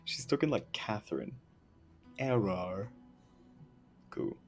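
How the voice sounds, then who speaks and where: A man's voice: on the low side, sing-song, slow. A young man giving casual, rambling, hesitant commentary while streaming a game. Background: faint game music.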